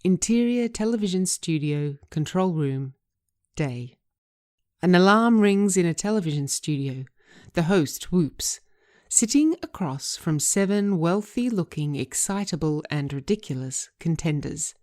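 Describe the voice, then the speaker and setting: A woman reading scripted screenplay narration and stage directions in a measured, deliberate manner. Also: lowish voice, expressive, a little mellow. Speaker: writer reading own script.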